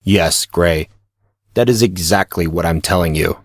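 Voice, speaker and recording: A man voicing a knowing teenage boy who explains things patiently in a measured, didactic way, in an audiobook story. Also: deep voice, over loud music, voice pushed down. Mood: patient, pleased.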